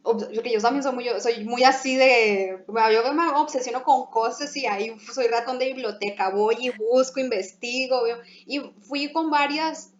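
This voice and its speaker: A young woman with a Mexican accent chatting as host, lively, laughing often and restarting her phrases. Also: a little high, normal speed, hardly any pauses. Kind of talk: casual conversation. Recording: echoey room, dull lo-fi sound.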